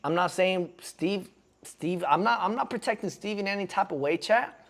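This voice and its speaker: A man's voice: high voice, normal speed, fairly bright tone. Slangy, rambling young man streamer. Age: 20s. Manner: slangy and rambling, keeps asking the chat "you feel me". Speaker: streamer reacting to a podcast clip. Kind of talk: casual live-stream reaction commentary.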